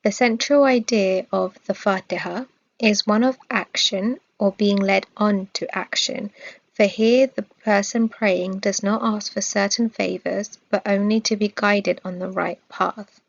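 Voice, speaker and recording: Measured woman narrator, slightly dull recording. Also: medium pitch, fairly even intonation, gravelly. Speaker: reader of religious study text. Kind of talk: scripted religious narration.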